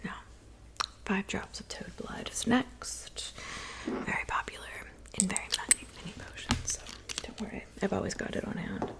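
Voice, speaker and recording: A kindly, slightly fussy witch of the woods, played by a woman, speaks in a soft, breathy whisper. Her pitch sits in an average female range. She is gentle, attentive and unhurried, as suits an ASMR potion-making roleplay. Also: steady tone, close to an everyday voice. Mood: gentle, busy. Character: kind, fussy woodland witch mixing love potions.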